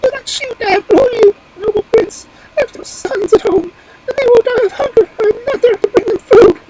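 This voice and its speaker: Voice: extremely high pitch, brisk, heavy background noise, voice pushed up. A reader voicing a storybook wood pigeon, pleading and grateful in a formal, imploring, wailing way. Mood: desperate pleading.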